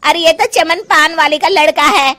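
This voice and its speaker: A woman's voice: extremely high pitch, normal speed, sharp tone, voice pushed up. A woman voicing an outspoken older female friend in a cartoon comedy, blunt and gossipy. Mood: scornful surprise. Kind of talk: Hindi cartoon comedy acting.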